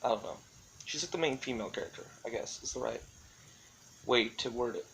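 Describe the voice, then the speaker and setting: A man with a medium-pitched voice talks casually, as if recording a solo vlog. He thinks aloud in a rambling, tentative way, often qualifying his own statements. Faint noise sits behind his voice. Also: lively intonation, brisk, fairly bright tone, poor audio. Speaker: vlogger. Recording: clearly audible background noise.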